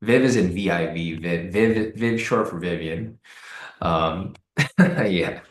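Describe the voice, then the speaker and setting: A man hosting a live trading stream, hoarse and raspy from the cold, talking casually with many fillers and repeated words. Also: sits low, slow pace, reverberant, slight background noise. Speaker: trading livestream host. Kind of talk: casual live-stream commentary answering chat.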